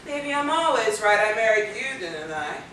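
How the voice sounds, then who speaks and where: Confident, brassy rebel wife female stage actor, animated and higher-pitched, teasing delivery. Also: moderate pace, slight background noise. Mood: sassy. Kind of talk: stage play acting. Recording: echoey stage videotape from far off.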